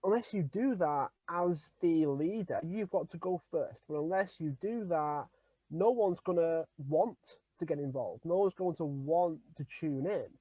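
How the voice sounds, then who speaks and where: Male podcast guest: emphatic, repetitive phrasing, slightly high voice, dry recording. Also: a little slow, mellow, no room sound, rough recording. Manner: emphatic, builds points by repetition. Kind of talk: candid interview talk.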